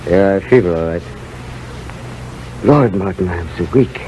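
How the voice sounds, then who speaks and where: Boastful big-hearted Swede, theatrical declamation, blunt clipped phrases, 1930s radio play, faint noise on a vintage broadcast. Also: male voice, low voice, unhurried, mellow, poor audio. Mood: weary, feverish. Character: hearty, boisterous Swedish rat-killing scientist, boastful, humane, stubborn. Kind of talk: 1930s radio drama acting.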